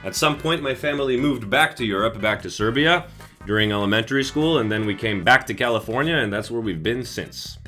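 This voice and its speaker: Young man, bright jokey vlog voice. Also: medium pitch, a little fast, soft background music. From United States. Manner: jokey, self-aggrandizing deadpan. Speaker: YouTuber. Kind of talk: casual Q&A vlog.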